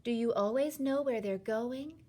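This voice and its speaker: A woman's voice: fairly high pitch, moderate pace, dark tone, voice pushed up, lightly acted. A woman voicing a feisty, indignant, determined young girl from a children's storybook, speaking in emphatic, impassioned exclamations. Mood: disgusted. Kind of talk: children's storybook character reading.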